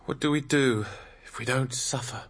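An actor in a radio drama playing an earnest, devoted, gentlemanly male friend. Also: mid-range voice, moderate pace, very noisy, close to an everyday voice. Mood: sympathetic.

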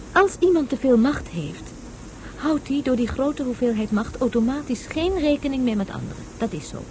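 Mellow, animated woman tutor. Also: fairly high pitch, slight background noise, low-quality recording. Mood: emphatic. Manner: didactic, storytelling to a child. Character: patient, explaining woman tutor of a young boy. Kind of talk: Dutch radio play acting.

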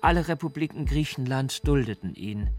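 A man playing a provocative libertine philosopher in a deliberate, declamatory radio reading. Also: medium pitch, expressive, darkish tone, close to an everyday voice. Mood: polemical, emphatic. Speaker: reader of a philosophical pamphlet. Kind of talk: dramatic radio reading of a polemical text. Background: music.

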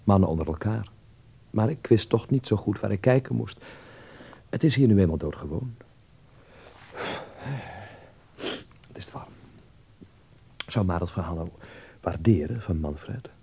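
A man's voice: fairly low pitch, animated, normal speed, gravelly, pauses often, mellow, slightly rough, exaggerated voice. An actor in a radio drama playing a naive, prudish young Dutchman abroad who talks to himself and is easily flustered. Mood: musing. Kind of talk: radio drama monologue and dialogue.

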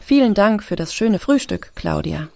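A woman's voice: medium pitch, animated, normal speed, creaky, mellow, a little hiss or hum. An actress playing a friendly, cheerful woman living on a commune in an audio drama.

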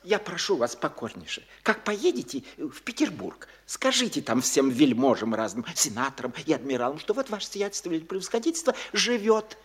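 An actor playing a fussy, self-important small-town landowner in a radio play, fawning and excitable, tripping over his words. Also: on the high side, a little fast, hardly any pauses, slightly rough. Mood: fawning, eager. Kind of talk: classic radio theatre acting.

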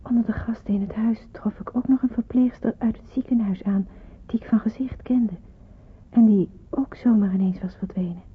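Radio drama, narrow muffled sound: a wary young woman in wartime hiding, introspective, very breathy. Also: on the high side, fairly even intonation, normal speed. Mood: musing, bewildered. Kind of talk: radio drama narration and dialogue. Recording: muffled, narrow-band old radio recording.

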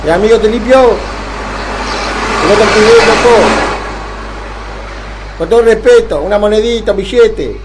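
A man playing a scrappy, friendly Argentine street windscreen washer in a radio comedy-drama, pleading and familiar in a husky voice. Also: high voice, unhurried, pauses often, heavy background noise. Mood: pleading, insistent. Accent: Argentine (Rioplatense).

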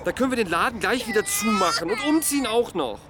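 A man's voice: sits high, lively intonation, quick pace. An actor playing a stressed, agitated young father in a naturalistic radio drama, talking bluntly. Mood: agitated, despairing. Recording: clear background noise.

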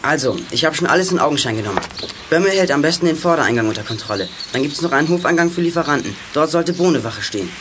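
Male actor playing boy sleuth, high voice, decisive, brisk, instructing, radio play, noisy ambience. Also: lively intonation, close to an everyday voice. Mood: businesslike. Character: teenage boy detective, businesslike, decisive leader. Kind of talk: 1980s German youth radio play acting.

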